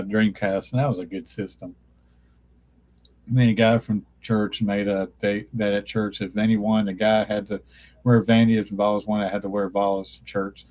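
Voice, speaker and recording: Low-voiced man rambling on a live stream. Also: muffled recording. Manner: meandering, nostalgic, searches for names mid-sentence. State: voice getting dry from long talking. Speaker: live streamer chatting with viewers. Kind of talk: casual rambling chat. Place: his childhood bedroom at home.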